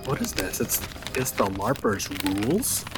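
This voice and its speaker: A man's voice: medium pitch, very animated, a little slow. A man acting as a hesitant, loyal gamer sidekick in a tongue-in-cheek radio play. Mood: worried, doubtful. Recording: loud music behind dialogue.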